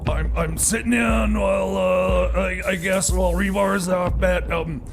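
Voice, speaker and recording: A man playing a bumbling, grumbling stand-in DJ on a comedy radio show, halting, stammering and scatterbrained. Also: high-pitched, steady tone, creaky, music in the background. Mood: flustered, halting. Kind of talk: comic radio theater DJ patter.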